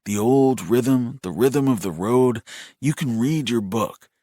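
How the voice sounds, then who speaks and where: Man playing a patient big brother, slow and coaxing. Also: mid-range voice, big pitch swings, no room sound, studio quality. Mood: sing-song calming. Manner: coaxing, repetitive, reassuring. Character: patient, protective older brother soothing his sibling. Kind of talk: audiobook character voice.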